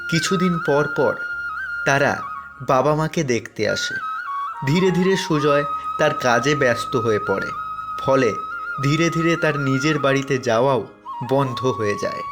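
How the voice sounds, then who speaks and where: A man narrating a cartoon fairy tale in a steady, plain storytelling manner. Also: mid-range voice.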